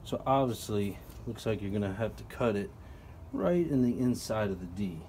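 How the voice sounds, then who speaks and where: A man casually explaining a tool setup while working with his hands, chatty and relaxed with plenty of ums. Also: fairly low pitch, normal speed, a little hiss or hum. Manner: relaxed, chatty, lots of ums and you-knows. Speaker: product reviewer. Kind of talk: casual how-to narration.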